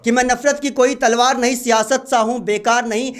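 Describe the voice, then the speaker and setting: A man's voice: very high-pitched, steady tone, a little fast. A man reciting patriotic poetry at an open mic, declaiming and repeating lines for emphasis. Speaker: poet.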